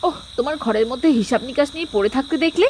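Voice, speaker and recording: A woman's voice: high-pitched, fast talker, darkish tone, slight background noise, close to an everyday voice. A woman playing an affectionate young daughter-in-law in a cartoon family drama. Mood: gently nagging. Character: affectionate young Bengali daughter-in-law, homely, gently complaining wife.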